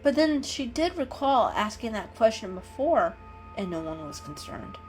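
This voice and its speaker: A woman giving an expressive, dramatic reading of a children's animal story as a storyteller. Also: a little high, moderate pace. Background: background music.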